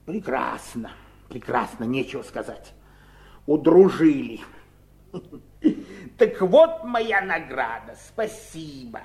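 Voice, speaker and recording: An actor playing a resentful, blustering brother in a Soviet radio drama, indignant and sarcastic. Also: male voice, mid-range voice, lively intonation, takes it slowly, pauses often, a little mellow. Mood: bitter, sarcastic.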